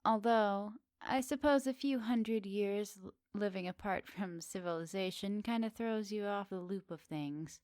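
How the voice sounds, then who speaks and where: A woman's voice: medium pitch, measured pace, few pauses, dry recording, natural voice. Woman playing flirty, teasing witch, close dry sound. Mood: curious, teasing. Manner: teasing, gently doting, slightly old-fashioned politeness. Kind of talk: ASMR roleplay addressed to the listener.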